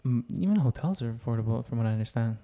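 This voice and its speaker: A young man talks in an easy, unhurried podcast chat. His voice sits at an ordinary middle pitch. He gets excited and doubles up his words to stress a point. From Israel. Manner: enthusiastic, repeats words for emphasis. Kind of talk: casual chat.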